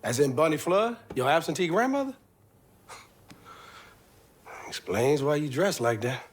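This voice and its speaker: An actor playing a guarded, troubled Southern man in a video game drama, gruff and halting, with a Southern American accent. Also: a little high, big pitch swings, full character voice. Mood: guarded, defensive. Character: guarded, troubled grown Southern man, estranged father figure.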